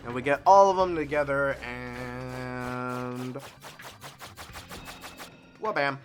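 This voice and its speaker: A man casually commenting on a video game he plays, sniffly from a recent sneeze, chatty and quick to swing between excited shouts and low mumbles. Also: soft background music. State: sniffly nose. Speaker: let's play host.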